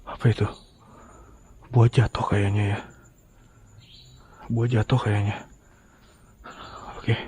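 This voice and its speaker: A man with a Jakarta accent talks to his camera in a fairly low voice. His tone is dark and mellow. He tells a ghost story in a loose, slangy way while exploring a hospital morgue at night. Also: level tone, slow, long pauses, slight background noise. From Jakarta, Indonesia. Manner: chatty slangy retelling, dropping to a hushed whisper at noises. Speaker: ghost-hunting vlogger.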